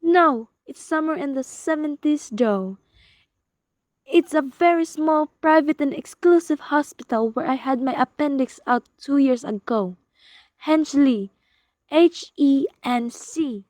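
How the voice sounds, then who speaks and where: A woman's voice: sits high, measured pace, darkish tone, no echo. A woman playing an anxious, demanding invalid wife in a radio play, dramatic, fretful and pleading. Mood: urgent, demanding.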